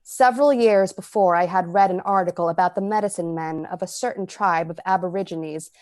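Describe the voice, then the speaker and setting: A woman's voice: fairly low pitch, a little fast, darkish tone, close to an everyday voice. Woman as a cold, calculating poisoner. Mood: calm, confiding. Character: cold, predatory, calculating poisoner telling the tale. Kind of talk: old-time radio drama narration.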